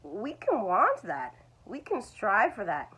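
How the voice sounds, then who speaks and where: A woman's voice: medium pitch, huge pitch swings, slow pace, fairly bright tone, slightly rough. A woman, teaching language learners in a reassuring, repetitive way. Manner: reassuring, repeats "it's okay". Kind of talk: talking-head video lesson.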